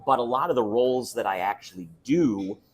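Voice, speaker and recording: A man's voice: medium pitch, lively intonation, brisk. A young man who sings opera, chatting thoughtfully in an interview at a restaurant. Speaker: opera singer, guest. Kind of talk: casual interview chat.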